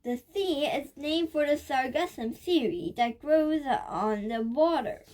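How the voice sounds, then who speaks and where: A young girl reading a nonfiction book aloud in a careful, slightly halting way. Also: high voice, rough recording. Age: child. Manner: careful, halting read-aloud with occasional stumbles. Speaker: reader of a children's nonfiction book.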